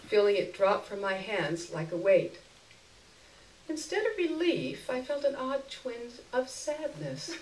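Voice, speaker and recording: A woman's voice: a little high, slight background noise. Woman reading fiction aloud in a roomy space. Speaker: reader of a short story. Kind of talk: expressive live literary reading, first-person narration. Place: indoor stage before an audience. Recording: roomy live stage recording, distant mic.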